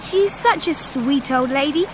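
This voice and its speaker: Storybook little girl, high-pitched, sweet, naive, prim, radio comedy, clear outdoor noise behind. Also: a little slow. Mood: gushing, sweet. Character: sweet, naive, sing-song fairy-tale girl. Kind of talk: comic radio play acting.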